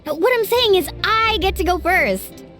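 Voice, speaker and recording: A woman playing an eager, energetic young recruiter in a video game. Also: very high voice, a little slow, soft background music, full character voice. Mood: flustered, eager. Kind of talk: video game character dialogue.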